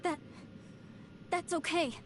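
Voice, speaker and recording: A woman's voice: very high voice, steady tone, slow pace, a bit bright, faint music behind, exaggerated voice. A woman voicing a young, devout female adventurer in a video game, earnest, emphatic and dramatic. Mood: resolute, pleading. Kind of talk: scripted video game voice acting.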